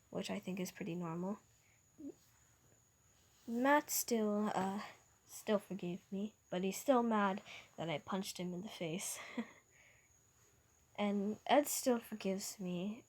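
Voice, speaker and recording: A bashful young man proposes in a hushed, stumbling voice with a Norwegian accent, put on by a woman. His words come slowly and unevenly, with many restarts. It is a homemade fan story reading. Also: mid-range voice. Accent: Norwegian (imitated). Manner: hesitant, quiet, stumbling. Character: nervous young man proposing, soft-spoken.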